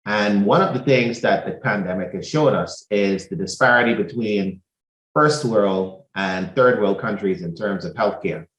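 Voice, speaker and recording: A man from the Bahamas speaks in a low, steady voice, laying out his points one by one in a measured way. The recording carries a noticeable roomy echo. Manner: measured, methodical, lists points in order. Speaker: doctor. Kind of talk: prepared explanatory talk.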